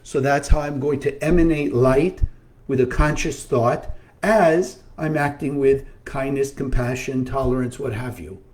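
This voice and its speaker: A man giving a spiritual talk as a teacher, earnest and measured, slowly listing virtues. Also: medium pitch, a little mellow.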